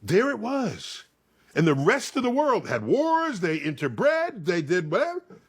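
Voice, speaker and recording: A man with a fairly high, rough-edged voice explains science conversationally. His pitch swings widely as he builds each point like a theatrical storyteller. He pauses for emphasis and checks that his listener follows. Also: wildly swooping pitch.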